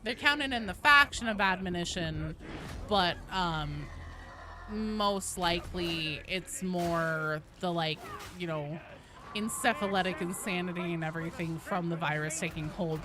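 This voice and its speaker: A woman streaming a game, casually explaining lore in a rambling, informal way with some swearing. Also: fairly low pitch.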